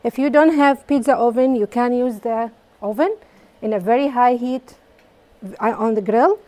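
A woman's voice: a little high, mellow. Iraqi-born woman teaching baking. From Iraq. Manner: simple, halting English with fillers. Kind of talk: hands-on cooking demonstration. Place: community hall kitchen.

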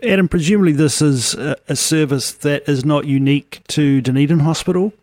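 A man's voice: a little high, brisk, a little mellow, dry recording. A man hosting a radio interview, asking friendly questions with small restarts. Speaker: radio host.

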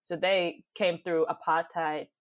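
A woman's voice: on the low side, flat delivery, unhurried. A young woman, reflecting thoughtfully and expressively in a conversational interview. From New Zealand. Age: young adult. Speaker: podcast guest, youth advocate and poet.

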